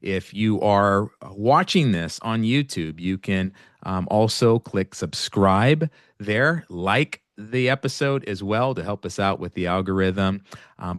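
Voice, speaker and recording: Podcast host guy from oakland, lowish voice, dry close sound, friendly measured questions. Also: no echo. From Oakland, California. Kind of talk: interview podcast.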